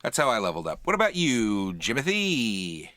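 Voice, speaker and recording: A man co-hosting a podcast in casual, animated banter about movies and TV, opinionated and freely swearing. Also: mid-range voice, moderate pace, no room sound, studio quality. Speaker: podcast co-host.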